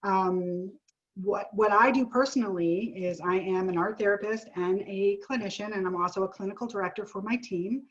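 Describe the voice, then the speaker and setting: A woman's voice: medium pitch, normal speed. Woman, chatty and friendly, getting over a sinus illness, dull lo-fi call audio. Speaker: art therapist and clinical director.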